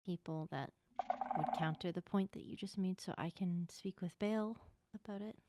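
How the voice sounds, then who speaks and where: Low-pitched woman as guarded courtier, noisy mic. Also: moderate pace, lightly acted. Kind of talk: improvised Minecraft roleplay. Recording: very noisy microphone with clicks.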